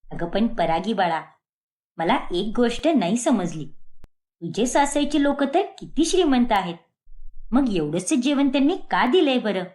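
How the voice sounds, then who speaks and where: Woman voicing a naive, homely older mother, animated. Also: on the high side, measured pace. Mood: naive curiosity. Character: simple, good-natured older mother, naively puzzled. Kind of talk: cartoon moral-story acting.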